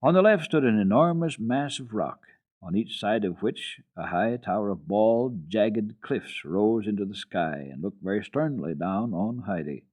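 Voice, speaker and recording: A man's voice: lowish voice, lively intonation, a little slow, a little mellow. A man giving a dramatic storybook reading of a children's classic as a radio storyteller.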